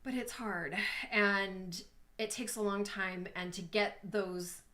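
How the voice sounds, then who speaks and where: A woman's voice: medium pitch, fairly even intonation, slow, pushed and bright. A woman in a casual coaching talk, chatty and thinking aloud with lots of fillers. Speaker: business owner being coached.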